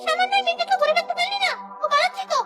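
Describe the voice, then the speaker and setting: Cartoon villain: hungry old she-ghost, extremely high and shrill, sneering, rapid delivery. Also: fairly even intonation, loud music behind the voice. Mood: menacing. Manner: shrill, mocking. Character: greedy, sneering older she-ghost who craves human babies. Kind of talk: Bengali cartoon voice acting.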